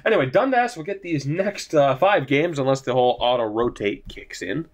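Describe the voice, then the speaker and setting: A man's voice: mid-range voice, expressive, normal speed. Rambling, swearing young male gamer. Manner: rambling, swears often, self-mocking. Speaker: gaming YouTuber. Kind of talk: casual gameplay commentary.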